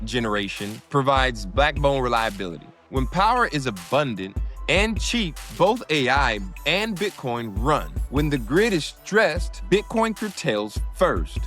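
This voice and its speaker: A man's voice: medium pitch, a bit bright. A man hosting a podcast, explaining energy technology with jokey asides and small slips. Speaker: podcast host. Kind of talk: explainer talk.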